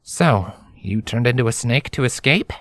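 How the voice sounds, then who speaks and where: A man's voice: mid-range voice, animated, normal speed, fairly bright tone, no echo, voice pushed up, natural voice. A man voicing a kindly, protective male pony adventurer in a fantasy story. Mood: curious, gentle.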